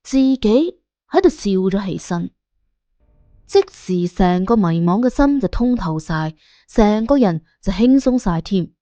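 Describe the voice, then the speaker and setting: A woman's voice: medium pitch, lively intonation, measured pace, dark tone, no echo. A woman narrating an audiobook in a colloquial storytelling style.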